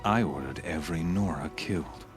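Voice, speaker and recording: A man playing a cruel, smug cult leader in a video game cutscene, speaking in a measured, menacing and grandiose way. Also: rumbling low. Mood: smug gloating. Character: cold, cruel male cult leader, smugly zealous villain. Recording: voice under background music.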